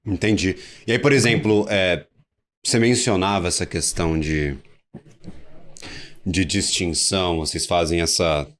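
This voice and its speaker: A Brazilian man hosts a talk program in a Brazilian Portuguese accent, throwing long, winding questions at his guest. He restarts phrases as he goes, and his voice sits somewhat low. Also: measured pace, pauses often, fairly bright tone. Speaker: host. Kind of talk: hosting a debate show.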